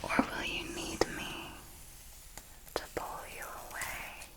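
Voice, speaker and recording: A woman playing a possessive, commanding vampire mentor in a whispered ASMR roleplay, speaking in a breathy, deliberate, coaxing way. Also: fairly bright tone. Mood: hushed, instructive. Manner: slow, deliberate, coaxing and instructive.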